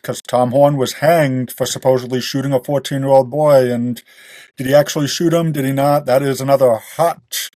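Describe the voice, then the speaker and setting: A man's voice: mid-range voice, dark tone, no room sound. A man speaking as a guest author in a casual podcast interview, explaining things in detail in a conversational way. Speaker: guest author of western fiction.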